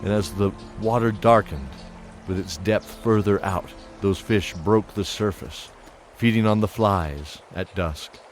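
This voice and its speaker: A man narrating a scripted fantasy audio drama with dramatic, measured storytelling. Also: low voice, faint music behind.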